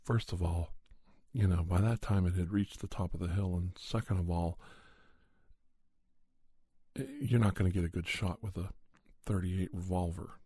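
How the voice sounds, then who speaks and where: Soft husky man telling a spooky tale. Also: deep voice, steady tone, moderate pace, pauses often, dry recording, clean studio sound. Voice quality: soft, breathy, husky, often dropping to whisper. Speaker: podcast storyteller. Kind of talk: ASMR first-person spooky storytelling.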